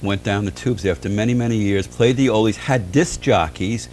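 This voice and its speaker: A man's voice: fairly low pitch, moderate pace, a little mellow, a little hiss or hum. An older man, an interview guest and old-time radio fan, reminiscing earnestly and repeating himself for emphasis. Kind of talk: casual nostalgic interview chat.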